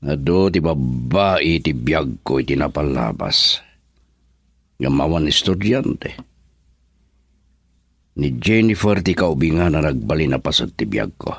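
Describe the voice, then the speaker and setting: Deep-voiced, commanding businessman husband. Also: vocal fry, fairly bright tone, breathy edge, dry recording, clean studio sound, exaggerated voice. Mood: musing. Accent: Ilocano Filipino. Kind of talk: Ilocano radio drama acting.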